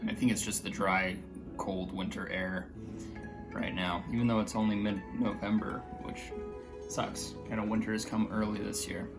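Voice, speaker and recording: A man with a slightly raspy voice chatting casually in a vlog, hesitant, with many fillers and restarts. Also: lowish voice, level tone, moderate pace, music in the background. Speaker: vlogger.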